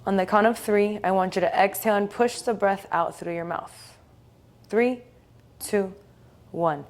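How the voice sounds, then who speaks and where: A woman leading a guided meditation in a soothing, gentle, unhurried way, leaving long pauses between phrases. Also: medium pitch.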